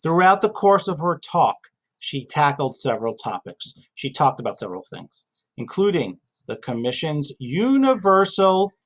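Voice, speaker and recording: A man's voice: a little high, very animated, normal speed, a bit bright. A man giving an informal lesson as a teacher, conversational, asking and answering his own questions and repeating key phrases. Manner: conversational, asks and answers own questions, emphatic repetition, casual asides. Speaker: GED reading teacher. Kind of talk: informal spoken lesson, explaining history and reading a passage aloud.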